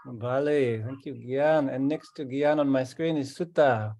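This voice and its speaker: A man hosting an online meeting, welcoming each participant calmly and often repeating his words. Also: medium pitch, unhurried. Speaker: host. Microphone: video call.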